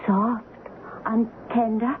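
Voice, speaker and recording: An actress playing a grave, secretive young woman in a 1970s radio drama, with measured, formal phrasing. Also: fairly high pitch, little pitch movement. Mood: calm, coaxing. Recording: noisy, phone-like old radio broadcast.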